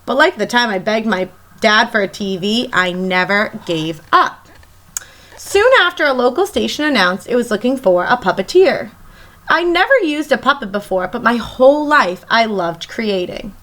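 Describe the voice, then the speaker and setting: A woman teacher reading a picture book aloud to children in an expressive, emphatic storytelling manner. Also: a little high. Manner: animated, emphatic, pauses to question young listeners.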